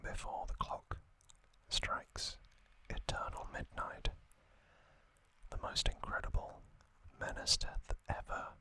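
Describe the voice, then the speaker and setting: Man, ASMR host, breathy whisper, very slow, gentle, short broken phrases, trivia commentary. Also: poor audio.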